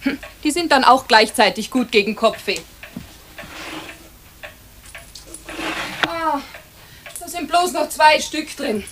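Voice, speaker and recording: A woman's voice: high-pitched, animated, normal speed. An actress playing a practical, caring mother with a Bavarian accent in a children's radio play. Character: brisk, caring housewife and mother. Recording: faintly hissy old radio recording.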